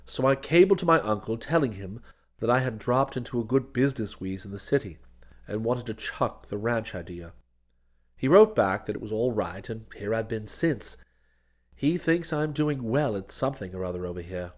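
A man voicing a hard-up, gloomy young gentleman who talks in plaintive, slangy upper-class chatter. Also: on the low side, animated, moderate pace, pitched below the performer's own voice.

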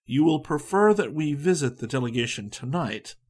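A man acts out a self-possessed, deliberate gentleman. He weighs each word slowly and calmly, with dry politeness, in a slightly husky voice that still moves in pitch. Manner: slow, calm, dryly polite.

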